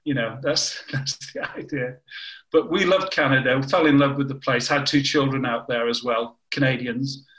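A man's voice: medium pitch, fairly even intonation, normal speed, fairly bright tone, reverberant, low-quality recording. An older man from the north of England with a northern English accent, telling anecdotes in an interview and often restarting his sentences. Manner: anecdotal, self-correcting with frequent restarts. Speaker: interviewee, former scientist. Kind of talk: conversational interview.

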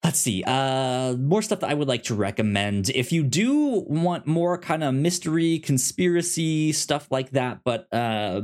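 A man co-hosting a casual chat and giving recommendations in an easygoing, halting way, as if thinking aloud. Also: mid-range voice, darkish tone. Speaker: podcast co-host.

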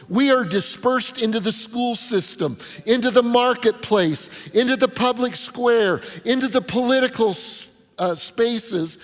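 A man preaching a conversational sermon as a pastor, with rhetorical questions, punchy short phrases and informal asides. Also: high voice. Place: large indoor hall before an audience. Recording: reverberant hall with long echo.

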